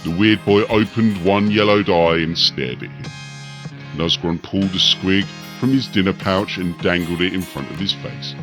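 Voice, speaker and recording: A man's voice: deep voice, moderate pace, over music. A man narrating a scripted fantasy story dramatically as an audiobook reader.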